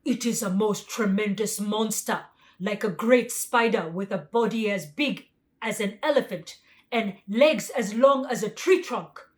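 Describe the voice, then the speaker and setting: A woman voicing a big, grave, respectful tiger in a children's storybook, speaking loudly and formally. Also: medium pitch, measured pace, natural voice. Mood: grave, alarmed. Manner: loud, emphatic, formal. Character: biggest male tiger, grave, respectful forest beast.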